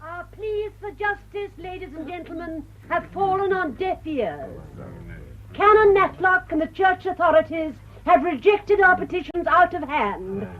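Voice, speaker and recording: Very high-voiced elderly crusader. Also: female voice, expressive, unhurried. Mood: righteous indignation. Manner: declamatory, emphatic oratory. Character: indignant elderly village crusader addressing a protest meeting. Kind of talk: British radio drama acting. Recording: dull radio recording.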